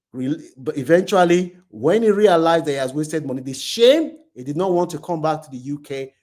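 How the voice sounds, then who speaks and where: A man with a slightly high voice works as a host, recounting a story in an informal spoken style. He is emphatic and often repeats phrases to drive a point home. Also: a little mellow.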